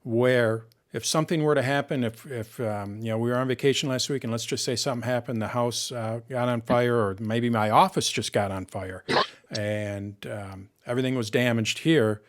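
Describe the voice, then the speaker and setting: A man with a Michigan accent hosting a live tech question session, explaining at ease with everyday examples and frequent pauses. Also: medium pitch, steady tone. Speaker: host answering tech questions. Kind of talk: live Q&A chat.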